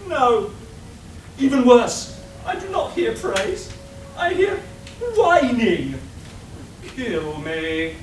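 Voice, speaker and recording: A woman's voice: fairly high pitch, sing-song, extremely slow, pauses often, exaggerated voice. A woman playing a vain, exasperated clockwork machine tailor in a live radio play, pompous, melodramatic and self-pitying. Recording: echoey hall, distant mic, faint noise.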